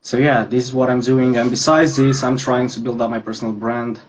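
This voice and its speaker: A man's voice: mid-range voice, fairly even intonation, fairly quick. A 19-year-old man from Romania, a guest on a podcast who talks earnestly and with care about his work over a video call. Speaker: guest, digital creator. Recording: dull lo-fi call audio.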